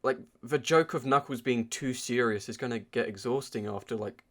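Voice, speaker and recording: A man's voice: mid-range voice, normal speed. A man co-hosting a podcast, reviewing films in a casual, informal way as he thinks aloud. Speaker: podcast co-host. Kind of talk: casual film review chat.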